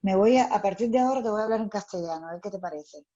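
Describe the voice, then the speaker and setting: A woman with a Cuban Spanish accent, answering interview questions thoughtfully with many pauses and fillers. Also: mid-range voice, warm tone, dull audio. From Cuba. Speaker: actress, interview guest.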